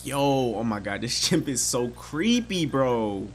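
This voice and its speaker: A man in his twenties giving casual, slangy reaction commentary, excitable and full of exclamations. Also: medium pitch, takes it slowly. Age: 20s. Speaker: reaction video creator. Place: small room at home.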